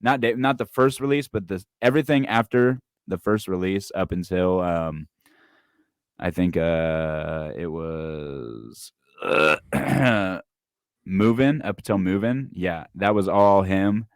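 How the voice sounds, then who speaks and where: A man's voice: sits low, takes it slowly, no echo, studio quality. A man in his twenties co-hosting a podcast, chatting casually and laughing often, swearing freely. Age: 20s. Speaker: podcast co-host, musician. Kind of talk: casual chat.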